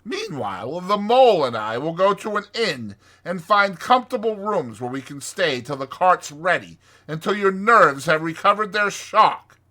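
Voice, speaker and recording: A man voicing a bossy, exasperated male water rat for a storybook, speaking in a firm, sharp, emphatic way. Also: a little high, wildly swooping pitch, measured pace, few pauses, slightly rough, voice pushed up, exaggerated voice. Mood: sharp, commanding.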